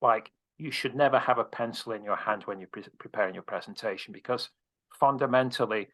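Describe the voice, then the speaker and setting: Bright-voiced, blunt male presentation coach. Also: mid-range voice, fairly quick. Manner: direct, casual, swears freely. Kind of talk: interview answers, advice.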